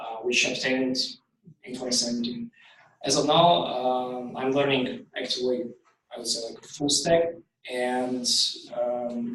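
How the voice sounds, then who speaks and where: A man with a Ukrainian accent pitches his startup to a room, pausing often and filling gaps with ums and likes. His voice sits at an ordinary middle pitch. It is heard from across a very echoey hall with faint noise behind. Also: a bit bright. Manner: halting, many ums and likes. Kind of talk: live pitch presentation. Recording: very echoey hall, distant from the mic.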